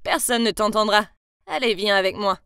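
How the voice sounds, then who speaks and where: A woman's voice: fairly high pitch, moderate pace, no room sound, studio quality, close to an everyday voice. A woman voicing a taunting young male street thug who speaks in a mocking, menacing way.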